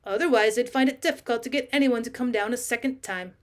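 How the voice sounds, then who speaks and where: A woman's voice: fairly high pitch, fast, lightly acted. Woman voicing a haughty, coldly sardonic talking tomcat. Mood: cutting. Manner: haughty, unruffled, cutting. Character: coldly sardonic talking male house cat. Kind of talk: audiobook character voice, British classic short story.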